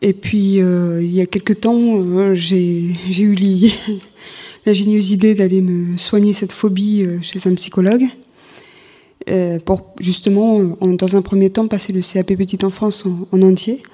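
A woman gives a casual personal account in an interview. Her voice is mellow and medium in pitch. She speaks hesitantly, leaving long, drawn-out pauses as she goes. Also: steady tone. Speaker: interview guest, former childminder returning to study.

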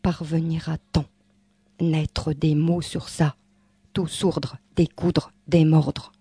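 A woman performing spoken-word poetry in an incantatory, repetitive way, swinging between hushed and forceful delivery. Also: low voice, flat delivery, slow pace, slightly rough, no room sound. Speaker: poet.

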